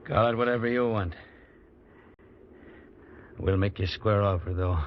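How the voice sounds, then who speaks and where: An actor in an old-time radio drama playing an exhausted, stubborn, dutiful police sergeant, speaking in halting, broken phrases. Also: male voice, lowish voice, close to an everyday voice. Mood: stubborn, resolute. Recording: dull, noisy old radio recording.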